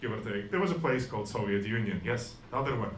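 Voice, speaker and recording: A man's voice: fairly low pitch, expressive, fast, reverberant, a little hiss or hum. Dry, self-deprecating man in his forties. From Estonia. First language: Russian and Estonian. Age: 40s. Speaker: stand-up comedian. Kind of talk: stand-up comedy set.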